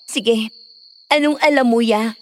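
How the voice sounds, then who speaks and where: An actress playing a frightened, questioning young bride-to-be in a Filipino horror audio drama. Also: female voice, a little high, slow pace, no room sound. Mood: anxious. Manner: anxious, questioning.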